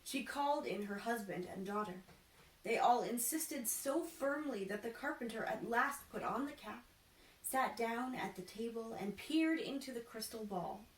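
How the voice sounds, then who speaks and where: A woman reading a children's storybook aloud in an expressive, deliberate, theatrical way. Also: fairly high pitch. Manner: animated, deliberate, acts out dialogue. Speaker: costumed storytime reader. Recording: echoey room, distant mic, faint noise.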